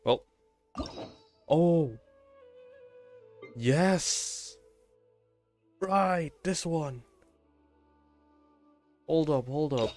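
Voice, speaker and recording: A man's voice: a little high, expressive, drawn-out words, long pauses, darkish tone, faint music behind. A man livestreaming a game, talking casually and muttering to himself as he plays. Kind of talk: casual solo gaming stream chatter.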